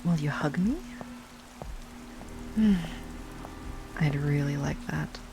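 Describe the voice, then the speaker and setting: A woman playing an obsessive, lovesick stalker ex-girlfriend in an intimate audio roleplay monologue, sweet yet menacing, with a breathy delivery that drifts into whispers. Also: sits low, slow, heavily acted, low-quality recording. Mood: sweetly menacing. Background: rain and music.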